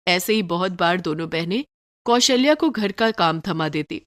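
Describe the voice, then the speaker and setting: A woman's voice: lowish voice, animated, moderate pace, no echo, clean studio sound. A woman reading scripted narration for a moral story, as its storyteller.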